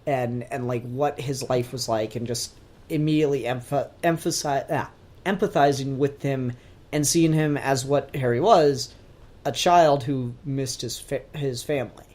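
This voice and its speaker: A podcast co-host with a medium-pitched voice talks in a casual discussion style. The delivery is informal and sweary, with animated emphasis on the points being made. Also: measured pace. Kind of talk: casual pop-culture discussion.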